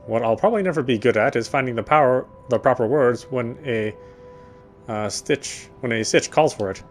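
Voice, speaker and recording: An actor playing a guarded, dry-witted young man who cares quietly, speaking his inner thoughts and dialogue in a wry, introspective way. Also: mid-range voice, moderate pace, soft background music, lightly acted. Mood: reflective. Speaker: game protagonist. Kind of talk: first-person inner monologue and dialogue.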